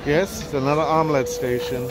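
A man narrating a casual walkthrough of a cruise ship buffet on his phone camera, relaxed, with frequent ums and uhs. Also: a little high, brisk, very noisy. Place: large indoor ship buffet hall. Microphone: handheld phone camera.

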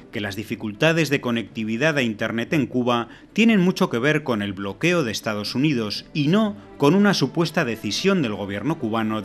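A man delivers scripted news narration at a mid pitch. His reading is measured and declarative, point by point. Faint background music sits under the voice-over. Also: a bit bright.

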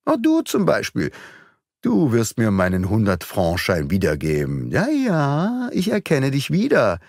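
A boastful gentleman thief who talks down to everyone with theatrical relish, as a male narrator puts him on. His voice jumps up and down in wide, lively swings. It is a dry, close audiobook reading. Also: medium pitch, measured pace, no echo, exaggerated voice. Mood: mock-kindly. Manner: taunting, theatrical, mock-friendly.

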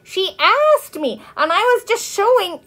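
A woman's voice: fairly high pitch, lively intonation, fairly quick, close to an everyday voice. A woman voicing a stubborn, indignant five-year-old girl who protests and insists in a storybook. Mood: protesting.

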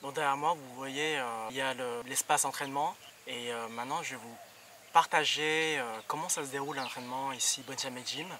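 Halting young Frenchman, distant and noisy recording. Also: mid-range voice, steady tone. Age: young adult. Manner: halting, searching for words. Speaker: vlogger. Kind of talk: casual vlog explanation.

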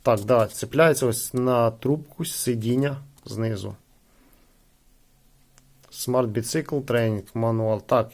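A man's voice: medium pitch, slow pace, pauses often. A man giving casual, conversational unboxing commentary as a host, hesitating and leaning on filler phrases. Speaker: product unboxing host and reviewer.

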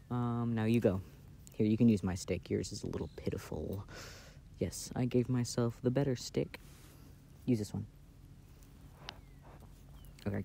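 A man playing an affectionate, playful boyfriend in a casual, intimate ASMR roleplay, speaking softly and hesitantly, recorded outdoors. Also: on the low side, vocal fry. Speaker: amateur audio roleplay performer. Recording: outdoor ambient noise.

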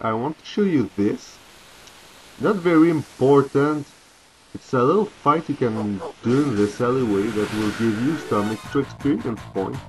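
A man's voice: lowish voice, poor audio. A native French speaker, a man with a French accent, gives casual, hesitant game commentary. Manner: hesitant, halting delivery. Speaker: let's play host. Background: video game music.

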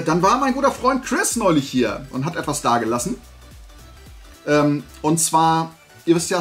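A man in his forties from Germany chatting casually to camera as a vlog host, in a colloquial, self-deprecating way. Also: a little high. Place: small indoor room lined with collectible shelves.

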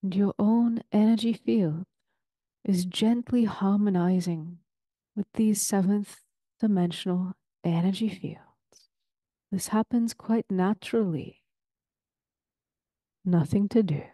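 A woman leading a guided meditation in a soothing, deliberate, ceremonial way, with long pauses between phrases. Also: mid-range voice, steady tone, dark tone, dry recording.

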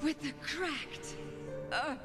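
Doomed young game mother, high voice, solemn pleading prayer, music behind. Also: very slow, in a large hall. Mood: anguished lament. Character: grieving doomed young mother praying over her baby. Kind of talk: slow poetic dramatic lament.

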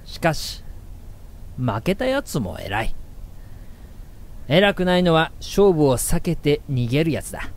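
男性が演じる抑揚の大きい、ぶっきらぼうな父親. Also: male voice, a little high. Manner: blunt, emphatic, declamatory. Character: gruff, preachy father lecturing his young son. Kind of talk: scripted radio drama narration and dialogue.

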